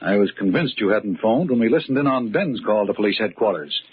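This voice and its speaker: An actor playing a calm, clever murder suspect. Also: male voice, fairly low pitch, lightly acted. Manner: calm, measured explaining. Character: Steve Raymond, man accused of murder, calm and clever. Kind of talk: 1940s radio acting. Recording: muffled old radio recording with faint hiss.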